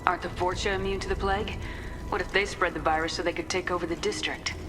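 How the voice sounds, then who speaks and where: A woman's voice: on the low side, steady tone, fast, over music, close to an everyday voice. A woman playing a resolute military commander in a video game, direct, assured and businesslike. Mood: questioning.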